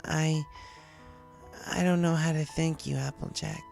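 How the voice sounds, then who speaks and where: A man's voice: a little high, fairly even intonation, measured pace, gravelly, a little mellow, soft background music, voice pushed up. A man voicing a young runaway pegasus mare, awkward and guarded, with a hesitant, blunt way of talking, in a cartoon fan story. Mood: sheepish, apologetic.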